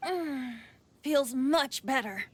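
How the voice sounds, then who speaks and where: An actor playing a wistful, earnest young male bard in a video game, speaking in a measured, theatrical storytelling way. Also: very high voice, lively intonation, fairly bright tone, breathy edge, exaggerated voice. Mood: soothed, wistful. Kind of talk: video game character dialogue.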